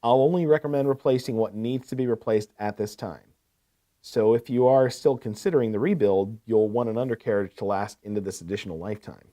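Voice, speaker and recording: Mellow, courteous salesman acted by a man. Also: lowish voice, brisk, natural voice. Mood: attentive, reassuring. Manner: salesmanlike, attentive, asks probing questions. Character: courteous, eager male heavy-equipment salesman. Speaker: equipment sales rep. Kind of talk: scripted business sales call role-play.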